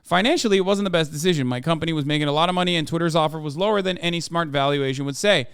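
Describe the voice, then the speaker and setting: Man putting on a frank, higher-voiced Icelandic man. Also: very fast, fairly bright tone, clear, resonant tone, dry recording, clean studio sound. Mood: wry. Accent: put-on Icelandic. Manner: reading a written thread aloud in character. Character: Icelandic male entrepreneur, frank and wry.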